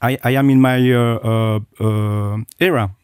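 A man's voice: medium pitch, steady tone, a little slow, darkish tone, clean tone, no echo, clean studio sound. A middle-aged man, a guest telling his personal story with many hesitations and restarts. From South Korea. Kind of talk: interview, personal account.

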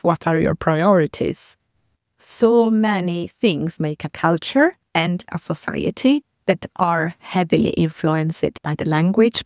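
A woman's voice: fairly low pitch, a little mellow, no echo, studio quality. A woman guest explaining her migrant support service in a reflective interview. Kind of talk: thoughtful interview talk.

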